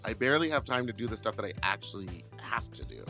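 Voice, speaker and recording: Man with bright, lively voice. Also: fairly low pitch, fast, gravelly, soft background music. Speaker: podcast co-host. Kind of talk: casual chat and host-read ads.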